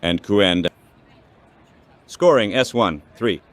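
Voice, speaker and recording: A man calling baseball play-by-play as an announcer, in short, measured phrases. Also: lowish voice, lively intonation.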